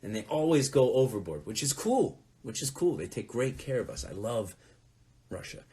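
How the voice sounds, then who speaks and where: A man's voice: mid-range voice, very animated, normal speed, warm tone. A man chatting casually from home during a live fan Q&A, telling rambling anecdotes. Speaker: musician hosting a fan Q&A. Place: at home, next to a closet.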